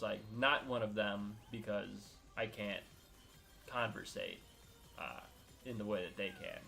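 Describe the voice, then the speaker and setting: A man's voice: mid-range voice, creaky, a bit bright. Chatty young man, rambling aside. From Miami, United States. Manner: rambling, many filler words and restarts. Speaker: co-host. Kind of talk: casual chat.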